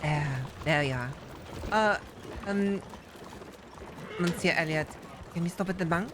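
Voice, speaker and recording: A woman's voice: lowish voice, background noise, natural voice. A woman playing a formal lady. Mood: encouraging. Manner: formal, measured, sprinkles French words. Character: polite French-speaking woman, formal and patient.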